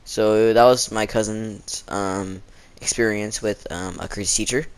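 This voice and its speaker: A teenage boy telling stories in a casual, rambling, halting way, with slangy wording and a sore throat he often clears. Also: on the low side, fairly even intonation. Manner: halting, informal, slangy, dramatizing quotes. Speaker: video storyteller.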